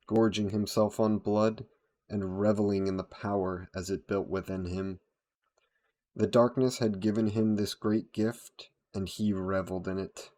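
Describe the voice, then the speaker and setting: A man voicing a brooding, solemn vampire who narrates a dark tale in a deliberate, dramatic storytelling style. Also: lowish voice, natural voice. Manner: slow, deliberate, solemn delivery. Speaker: audio roleplay performer, storyteller.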